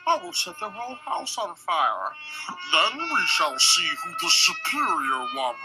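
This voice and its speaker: A man doing a comedic impression of a grandiose, vengeful, self-pitying young villain in a theatrical, whiny, drawn-out delivery. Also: high voice, huge pitch swings, over music, heavily acted, low-quality recording. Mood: menacing, grandiose. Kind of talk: comedic impression in podcast banter.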